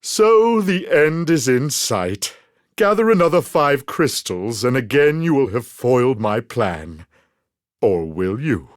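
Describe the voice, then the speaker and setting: A man's voice: medium pitch, sing-song, slow pace, no echo, clean studio sound. A man voicing a pompous, scheming, exasperated mad scientist villain in a theatrical video game style.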